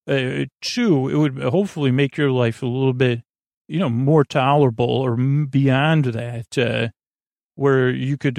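A man hosting a bedtime podcast, rambling in a halting, meandering way and often trailing off mid-thought. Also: mid-range voice, darkish tone, no room sound, clean studio sound. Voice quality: creaky, gravelly. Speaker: podcast host.

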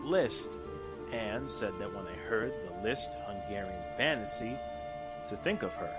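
A man's voice: lowish voice, expressive, measured pace, loud music behind the voice. A man playing an earnest, curious young man in a radio drama, with a boyish, anxious manner. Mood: dawning alarm.

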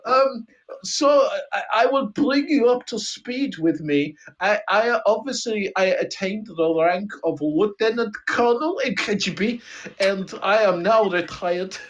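A man's voice: high-pitched, fairly bright tone, dull audio, pitched above the performer's own voice. Man putting on a rambling retired Russian KGB colonel. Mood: boastful, content. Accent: mock Russian, sometimes slipping into Scottish. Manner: halting, rambling, broken English with dropped articles. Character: retired Russian KGB lieutenant colonel, sleepy, nostalgic, comic. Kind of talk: improvised comic role-play.

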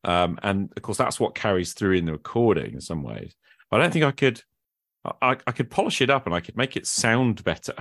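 A man with a deep voice talks casually as a podcast host. He rambles informally and thinks aloud, and the sound is dry and close, with very little room around it. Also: sing-song, a little fast, fairly bright tone, no echo. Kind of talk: casual conversational interview.

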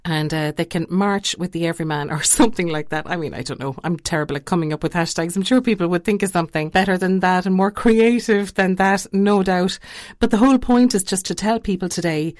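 A woman's voice: fairly low pitch, brisk, dry recording, clean studio sound. An Irish woman chatting enthusiastically as a radio interviewer.

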